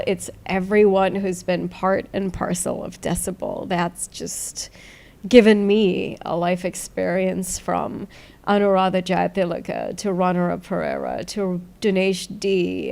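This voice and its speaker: A woman from Sri Lanka speaks in a low, slightly gravelly voice. She chats loosely and rambles, pausing on fillers as she gives shout-outs to the people she thanks. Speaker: founder of a music site, interviewed. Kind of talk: casual interview chat.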